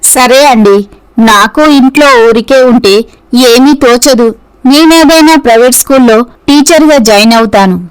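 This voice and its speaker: A gentle young orphan woman played by a female voice actor in a moral tale. She is cautious and practical, with a high-pitched voice. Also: a little slow, clean tone, lightly acted, low-quality recording. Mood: cautious, resigned.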